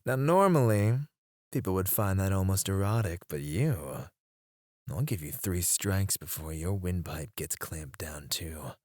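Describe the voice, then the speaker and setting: A man voicing a menacing male mercenary interrogator in an ASMR roleplay, speaking in a low, taunting way close to the mic, sometimes dropping to a whisper. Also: lively intonation, unhurried, darkish tone, dry recording.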